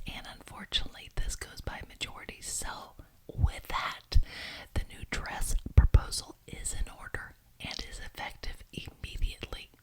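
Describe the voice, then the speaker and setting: A woman playing a gracious but bossy witch who chairs a coven meeting in an ASMR roleplay, speaking deliberately and repeating words for emphasis. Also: close to an everyday voice, low-quality recording.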